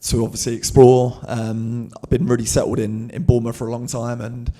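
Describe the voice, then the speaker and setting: Chatty footballer, easy laugh. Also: male voice, mid-range voice, level tone, brisk, dark tone. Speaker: footballer guest. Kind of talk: casual interview answers.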